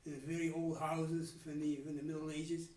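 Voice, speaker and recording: A man's voice: on the high side, level tone, darkish tone, reverberant, recorded from a distance, low-quality recording. A man in his nineties with a Dutch accent, recalling his childhood in a candid interview and often stopping to restart his sentences. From Netherlands. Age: 90s. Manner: halting, many restarts and trailing pauses. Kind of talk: candid life-story interview.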